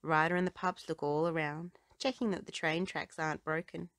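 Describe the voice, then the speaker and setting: A woman reading a children's storybook aloud with expressive, dramatic phrasing. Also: low-pitched, moderate pace, no room sound, clean studio sound. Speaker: children's book reader.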